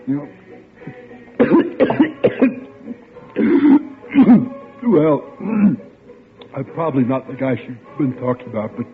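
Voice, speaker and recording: A man's voice: mid-range voice, wildly swooping pitch, extremely slow, long pauses, heavily acted. A lovesick guy, swooping old-radio delivery. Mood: tipsy, hesitant. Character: lovesick, earnest young man searching for missing girlfriend. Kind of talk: old-time radio drama acting and narration. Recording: muffled vintage radio recording with music.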